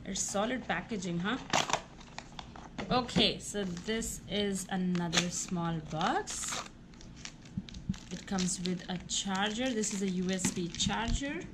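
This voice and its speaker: A woman with a mid-pitched voice hosts a casual unboxing video at an unhurried pace. She narrates each step aloud in an exclamatory manner. The recording is very noisy, with clicks in it.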